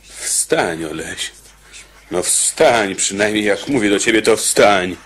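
A grumbling, slow-talking condemned convict. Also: male voice, fairly low pitch, animated, a bit bright. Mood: exasperated, bitter. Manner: exasperated, grumbling, halting repetitions. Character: gruff, irritable condemned convict, bossy with his cellmate. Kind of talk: radio play acting. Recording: noisy radio drama recording with clicks.

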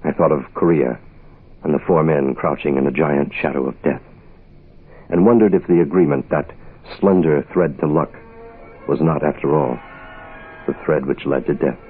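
An actor playing a terse private investigator, clipped and deadpan, in the style of 1960s radio noir. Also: male voice, a bass voice, moderate pace, pauses often, husky, faint music behind. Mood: reflective. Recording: hissy, phone-like vintage radio recording.

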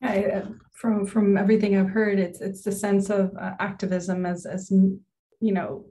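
A woman's voice: mid-range voice, level tone, measured pace, warm tone. A young woman moderating a panel on a video call, stammering and repeating words as she sums up. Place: home room.

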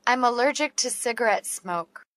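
A woman reading short English practice dialogues aloud, slowly and carefully enunciated. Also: fairly high pitch, expressive, fairly bright tone, no room sound. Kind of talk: slow read-aloud English practice phrases.

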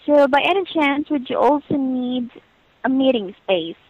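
High-voiced woman, polite phone booking agent. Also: unhurried, no room sound. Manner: courteous, formulaic, patient. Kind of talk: polite customer-service phone talk. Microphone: telephone line. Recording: muffled lo-fi phone call.

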